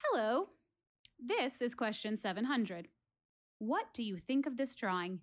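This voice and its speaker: Actress doing earnest wry lady doing a one-woman stage monologue, high voice, really swoopy and dramatic. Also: very animated, a little slow, soft background music. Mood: playful, bright. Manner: dramatic, rhetorical, addressing listeners directly. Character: earnest, wry woman philosophizing to her theater audience. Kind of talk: theatrical one-woman monologue in an audio play.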